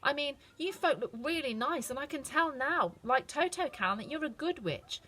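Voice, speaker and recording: A woman's voice: high voice, expressive, moderate pace, a bit bright, voice pushed up. A woman voicing a sweet, earnest young farm girl who speaks in a pleading, homesick way. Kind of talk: storybook reading.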